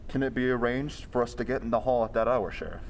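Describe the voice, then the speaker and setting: An actor playing a calm, bold gentleman investigator in an old-time radio mystery, speaking in a measured, formal way. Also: mid-range voice, lightly acted. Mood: composed questioning. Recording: reverberant hall, background hiss.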